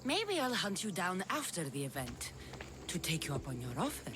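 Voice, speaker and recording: A woman's voice: on the low side, big pitch swings, normal speed, music in the background. A woman playing a determined mercenary warrior who speaks tersely and confidently in a video game.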